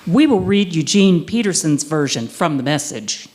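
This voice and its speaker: Low-voiced woman, animated dramatic reader. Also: moderate pace, breathy edge. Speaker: reader in a group dramatic reading. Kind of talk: theatrical scripture reading.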